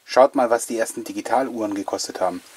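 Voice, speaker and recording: A man's voice: on the low side, quick pace, a little mellow, husky. A middle-aged man, chatting enthusiastically and effusively to viewers as the host of a collectors' show. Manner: effusive, addressing viewers directly. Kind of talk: enthusiastic chatty presenting to camera.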